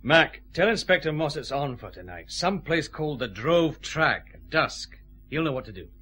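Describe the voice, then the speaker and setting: Calm, authoritative Scottish detective. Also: male voice, on the high side, normal speed, husky, full character voice. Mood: brisk, commanding. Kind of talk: radio drama acting.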